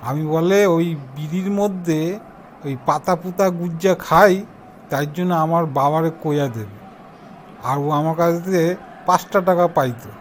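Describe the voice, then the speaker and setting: A man's voice: on the high side, normal speed, slight background noise, close to an everyday voice. A man playing an aggrieved young pal in an amateur comic cartoon, chatty and complaining in an eastern Bengali accent. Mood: grumbling, commiserating. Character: aggrieved young male pal, gossiping and grumbling.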